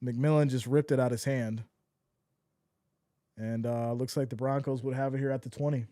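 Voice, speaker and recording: A man doing live fan play-by-play of a football game, excitable and losing his voice, swinging from shouts to deflated mutters. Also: mid-range voice, normal speed, no room sound, studio quality.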